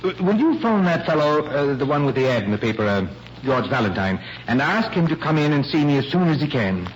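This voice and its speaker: An actor playing a concerned, paternal family lawyer in a 1940s radio drama, earnest, emphatic and formal. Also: male voice, on the high side, very animated, a little fast. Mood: brusque, unsettled. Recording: muffled vintage radio recording, faint hiss.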